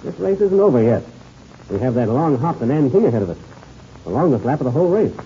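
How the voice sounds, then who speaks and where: An actor playing a seasoned, level-headed American pilot and mentor in an old radio adventure serial, hearty and instructive, with an American accent. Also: male voice, mid-range voice, big pitch swings, fast. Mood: cautious, steady. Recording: noisy, dull old radio recording.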